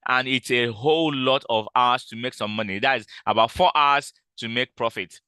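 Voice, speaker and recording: A loud man teaches a live trading session over a call, repeating himself and stumbling through fillers as he explains. His voice is bright and crisp, and the sound is dry and close. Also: medium pitch, normal speed, no echo. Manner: explanatory, repeats points, frequent fillers and restarts. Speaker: trading instructor. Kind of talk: live online trading class.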